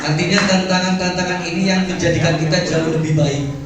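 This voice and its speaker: A man's voice: sits high, level tone, fast. A man moderating a stage talk show, upbeat and often calling for applause. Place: large hall with audience. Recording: echoey hall, distant mic, crowd noise.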